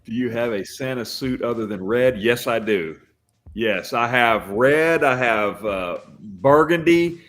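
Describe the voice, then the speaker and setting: An older man who works as a Santa, a guest on a podcast, talking in a loud booming voice with frequent restarts. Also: animated, unhurried. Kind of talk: casual podcast chat.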